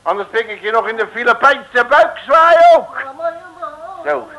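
An actor in a 1960s radio comedy serial playing a blustering, streetwise detective with a cocky, colloquial way of talking. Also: male voice, extremely high pitch, expressive, measured pace, vocal fry, bright, forceful tone, exaggerated voice. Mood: cocky, triumphant. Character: brash, blustering streetwise male detective, comic hero. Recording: noisy old radio recording.

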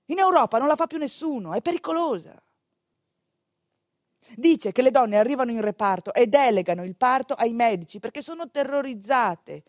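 A woman delivering a theatrical monologue in a conversational way, with pointed pauses and rhetorical asides. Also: a little high, expressive, no room sound, clean studio sound. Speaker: stage actress narrating a monologue.

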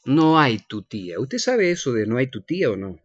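An Argentine man hosts a radio show with a very animated, swooping delivery. He chats and teases playfully in a close, dry space. The sound is somewhat dull and lo-fi. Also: medium pitch, normal speed, husky, dry recording. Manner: playful, teasing. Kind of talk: conversational radio presenting with greetings and banter.

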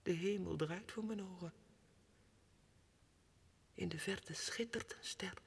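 A man's voice: on the high side, creaky, breathy edge, exaggerated voice. A tormented madman in echoing reverb. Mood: hushed, dreamy. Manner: theatrical, swinging from hushed whispers to anguished cries. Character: tormented madman clerk, imagines himself king, desperate and childlike. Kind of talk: 1960s radio drama monologue. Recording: reverberant hall, 1960s radio production.

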